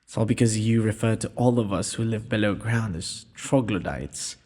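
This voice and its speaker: A man playing a gentle, courteous young prince in a romantic audio roleplay, speaking formally and a little hesitantly. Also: fairly low pitch, normal speed, natural voice. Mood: amused, teasing. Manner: formal, polite, breathy speech with hesitations. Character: gentle, courteous young underground prince, nervous, earnest suitor. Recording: long hall-like reverb.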